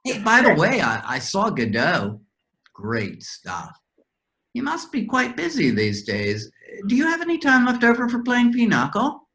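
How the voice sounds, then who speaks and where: A woman's voice: mid-range voice, wildly swooping pitch, a little slow, fairly bright tone, exaggerated voice. A woman playing a talkative, droll character recovering from amnesia in a staged play reading. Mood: cheerful. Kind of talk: virtual readers theater play reading.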